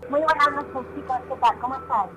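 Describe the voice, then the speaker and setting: A woman's voice: sits high, brisk, sharp tone. A woman, chatting casually and explaining hesitantly as a radio contributor. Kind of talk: casual chat commentary. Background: faint background music.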